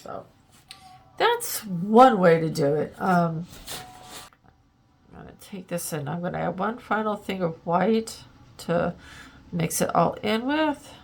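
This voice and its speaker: Stuffy-nosed lady doing a laid-back art demo, low gravelly voice, lots of ums, soft music under it. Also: animated, slow, long pauses. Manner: chatty, thinking aloud while working, many ums and likes. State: congested, a little plugged up. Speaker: art channel host. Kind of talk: casual art tutorial demonstration.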